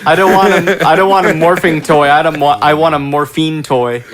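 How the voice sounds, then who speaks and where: Emphatic, higher-voiced man in group banter. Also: moderate pace. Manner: emphatic repetition, interjecting. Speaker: podcast panelist. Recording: lively group chatter behind.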